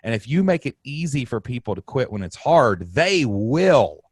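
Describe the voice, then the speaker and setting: A man with a Southern American accent hosting an interview podcast in an animated, emphatic way and laughing often. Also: mid-range voice, normal speed, husky, no echo, clean studio sound. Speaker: podcast host. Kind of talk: casual interview chat.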